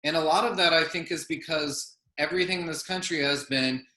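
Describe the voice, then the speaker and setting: A man moderates a panel discussion in a thoughtful, measured way, often softening his points with "I think" and "you know." His voice is bright and fairly high. It rings in an echoey room. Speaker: session moderator.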